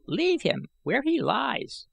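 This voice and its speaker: A man voicing a bent, eager old man with excitable, old-fashioned pleading speech, as an audiobook character. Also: extremely high pitch, slow, creaky, husky, dry recording, voice pushed up, heavily acted. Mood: counseling. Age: older.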